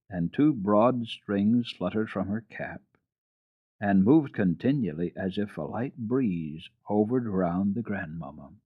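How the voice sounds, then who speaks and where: A man telling a children's story as a dramatic reading, expressive, measured and deliberate. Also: fairly low pitch, breathy edge. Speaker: storyteller, radio host. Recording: dull, roomy old radio recording.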